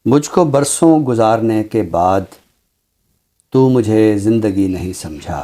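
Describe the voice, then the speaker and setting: Pakistani guy talking literature, lowish mellow dark voice, formal n deliberate, repeats stuff for emphasis. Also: expressive. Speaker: literary commentator on a talk show. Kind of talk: measured, formal literary discussion and poetry recital.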